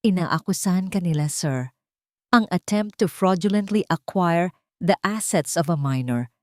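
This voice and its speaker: A woman's voice: on the low side, expressive, a little slow, no room sound, studio quality. A Filipino woman voicing an urgent, deferential attorney in a dramatic story. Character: urgent male attorney reporting to his boss. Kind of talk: storybook character dialogue.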